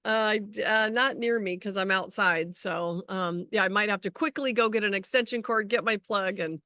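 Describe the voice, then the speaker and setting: A woman with a middle-pitched voice talks casually as a podcast co-host. Her sound is dry and free of room echo, and her phrasing is halting, often doubling back on itself. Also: pushed and bright. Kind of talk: casual conversational chat. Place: outdoors.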